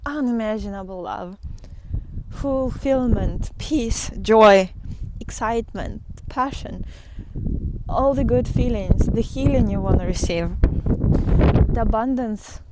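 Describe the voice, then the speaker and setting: A woman giving an earnest, unscripted spiritual talk as a teacher, speaking haltingly with pauses and repetitions. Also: fairly high pitch. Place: outdoors in the wind. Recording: windy outdoor recording with clear noise.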